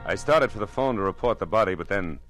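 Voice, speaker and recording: A man's voice: fairly low pitch, lively intonation, fast talker, close to an everyday voice. An actor playing a hardboiled, wisecracking male private detective, dry and terse, in an old-time radio drama. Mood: moody, dry narration. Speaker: lead actor and narrator. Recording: vintage radio recording with music.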